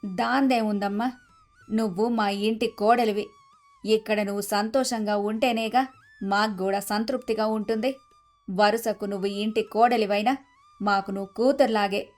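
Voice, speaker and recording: Woman playing nurturing village mother-in-law in a storybook tale, kindly, soft music underneath. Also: mid-range voice, fairly even intonation, moderate pace, close to an everyday voice. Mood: affectionate. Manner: nurturing, instructive. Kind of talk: scripted storybook acting.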